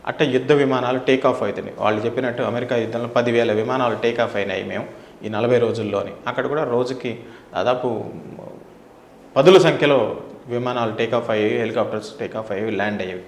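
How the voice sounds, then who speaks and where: Middle aged guy being interviewed, talks fast n emphatic, repeats himself, echoey room. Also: mid-range voice, slight background noise. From India. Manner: emphatic, uses rhetorical questions and repetition. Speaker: interview guest, former air force officer. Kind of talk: opinionated interview talk. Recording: roomy, echoing indoor sound.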